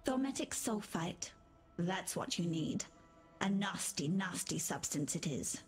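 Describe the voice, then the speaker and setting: A woman's voice: fairly low pitch, lively intonation, measured pace, a little mellow, soft background music. A woman playing a mad, bitter, undying queen in a video game, muttering and repeating herself theatrically. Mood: conspiratorial. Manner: unhinged, repetitive, theatrical, muttering.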